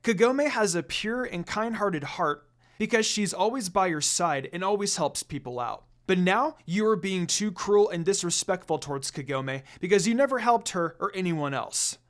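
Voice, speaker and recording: Earnest young defender for a fantasy reading, man performing, firm, arguing, raised lively pitch, music underneath. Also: normal speed. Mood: indignant. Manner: arguing, declaring firmly. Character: earnest, indignant young man defending his absent friend.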